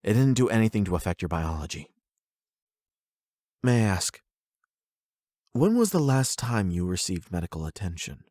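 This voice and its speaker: A man acting as a calm, patient doctor in an audio roleplay, speaking in a formal, measured and clinical manner. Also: sits low, dry recording, clean studio sound. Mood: patient, probing. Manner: measured, polite, explanatory.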